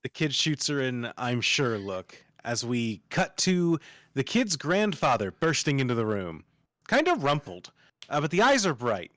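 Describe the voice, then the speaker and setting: A man's voice: medium pitch, very animated, measured pace, a bit bright, dry recording. A man narrating, reading screenplay stage directions aloud as part of a table read.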